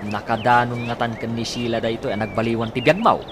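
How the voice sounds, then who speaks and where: A man's voice: mid-range voice, steady tone, fast, background noise, natural voice. A man with an Ilocano accent playing a teasing, gossipy buddy with a drawl in a radio comedy drama. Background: music.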